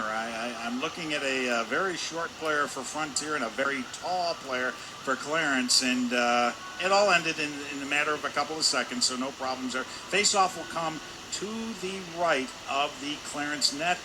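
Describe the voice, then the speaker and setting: A man's voice: mid-range voice, moderate pace, hardly any pauses. A man giving live color commentary on a hockey game in a conversational, discursive manner. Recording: clear arena noise behind voice. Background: hockey arena ambience.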